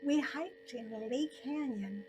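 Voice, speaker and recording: An elderly woman narrating her own scripted personal story in deliberate phrases with frequent pauses. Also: sits high, over music. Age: 70s or older.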